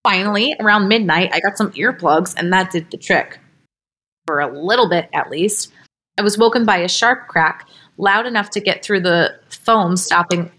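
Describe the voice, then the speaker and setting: Woman podcast host reading a story out loud, mid pitch, casual but dramatic, kinda loose and expressive. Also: moderate pace. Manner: expressive, breaks character, giggly slips and asides. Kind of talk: informal dramatic story read-aloud.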